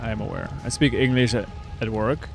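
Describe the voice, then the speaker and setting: Chatty male streamer over game music. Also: lowish voice. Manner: chatty, answers chat with quick laughs. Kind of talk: casual live-stream chat while playing. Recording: clear game music under the voice.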